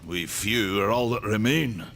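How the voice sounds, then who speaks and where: An actor playing a weary old male warrior who guards a gate, gruff, rustic and wry, with game music behind him. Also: lowish voice, a little slow, a bit bright, a little hiss or hum. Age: older.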